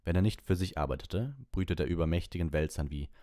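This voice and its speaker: A man's voice: sits low, fast talker, mellow, no echo, studio quality. A man reading an audiobook as narrator, in scripted storybook narration.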